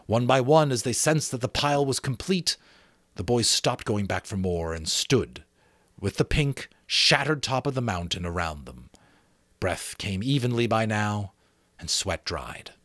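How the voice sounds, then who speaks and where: A middle-aged man giving an expressive, theatrical amateur reading of a novel, with occasional asides to listeners. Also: fairly low pitch, dry recording, clean studio sound. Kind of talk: dramatic audiobook narration with asides to listeners.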